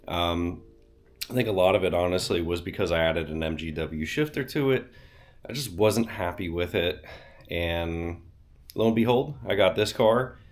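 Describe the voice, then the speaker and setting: A deep-voiced man talks through a car project in a chilly home garage. He is getting over a cold, so he sounds a bit nasal and sniffles now and then. His delivery is loose and chatty, full of fillers and blunt remarks. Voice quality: slightly nasal. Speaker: car channel host. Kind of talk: casual garage vlog.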